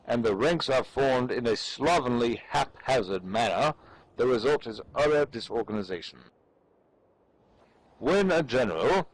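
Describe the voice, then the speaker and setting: A man giving a dramatic, measured reading of an old military treatise in a formal, declamatory way. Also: mid-range voice, poor audio. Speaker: amateur reader.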